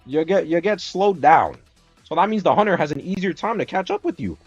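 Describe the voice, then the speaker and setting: A man hosting a casual game stream, talking fast and heated as he defends his picks. He swears freely and presses his point. The sound is a little dull, with faint music underneath. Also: sits high, husky, no room sound. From Dominican Republic. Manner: animated, profane, argumentative ranting. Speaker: streamer host. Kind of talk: casual gaming stream chat.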